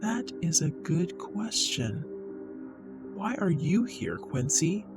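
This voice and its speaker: A woman voicing a menacing male shadow creature who taunts his prey slowly and coldly. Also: fairly low pitch, big pitch swings. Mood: mocking. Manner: slow, taunting, toying with its prey. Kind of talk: audiobook character voice. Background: music.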